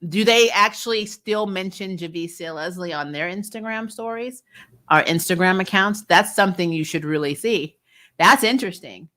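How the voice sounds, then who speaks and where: A woman's voice: mid-range voice, normal speed. A woman hosting a fan recap show, giving casual, opinionated TV commentary in a blunt, sweary way. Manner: blunt, sweary, animated mock-quoting.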